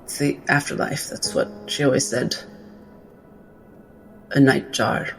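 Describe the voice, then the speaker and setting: A man playing a composed, formal female doctor in a tabletop game, hesitant, halting and softly stammering. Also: medium pitch, fairly even intonation, gravelly, soft background music. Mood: awed, hesitant.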